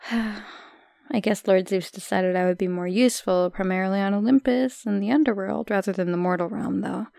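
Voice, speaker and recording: Shy young goddess played by a woman, softly creaky. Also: mid-range voice, no room sound, clean studio sound. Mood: modest, flustered. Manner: hesitant, chatty, with soft asides. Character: shy, friendly, modest minor goddess of Olympus. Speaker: audio roleplay voice actor. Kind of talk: soft conversational audio roleplay acting.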